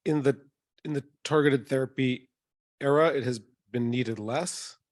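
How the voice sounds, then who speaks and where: Measured man doctor answering. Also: medium pitch. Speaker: panel doctor. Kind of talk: answering audience questions.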